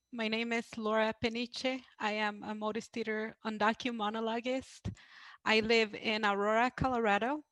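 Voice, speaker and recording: A woman's voice: a little high, a bit bright, no room sound. A woman introducing herself as a guest speaker. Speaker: guest monologuist. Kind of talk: self-introduction.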